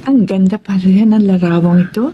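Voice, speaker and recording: An actress playing an affectionate, nostalgic sweetheart in a Tagalog radio drama, speaking tenderly and expressively. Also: female voice, mid-range voice, moderate pace, highs cut off, close to an everyday voice. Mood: tender, curious.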